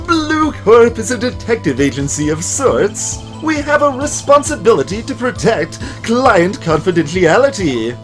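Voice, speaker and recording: A smug, flamboyant businessman from a courtroom video game, acted out by a man. His pitch swoops theatrically as he draws out pompous, florid phrases. Faint music plays underneath. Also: sing-song. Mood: smug, boastful. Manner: pompous, florid wording, drawn-out words.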